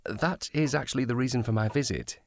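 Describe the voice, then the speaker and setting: An actor playing a courteous, reserved police sergeant in a period audio drama, speaking in a formal, measured manner. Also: male voice, mid-range voice, a little hiss or hum, lightly acted. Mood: polite, guarded.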